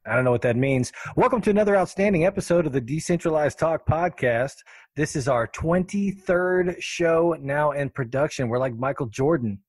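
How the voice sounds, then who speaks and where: A man's voice: on the high side, normal speed, slightly rough, no room sound. A man hosting a podcast in an upbeat, chatty, jokey, unscripted way. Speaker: podcast host.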